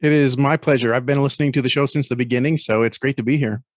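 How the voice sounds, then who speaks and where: A man's voice: mid-range voice, steady tone, fast, no room sound, studio quality. A man speaking conversationally as an interview guest and critic. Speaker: podcast guest, audio drama critic. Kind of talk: interview chat.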